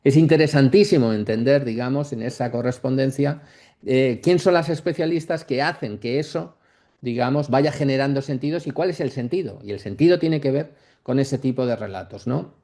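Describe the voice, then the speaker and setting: A man giving an academic lecture off the cuff, reflective and halting, often checking in with short question tags. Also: medium pitch, dark tone. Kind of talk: masterclass lecture, speaking off the cuff.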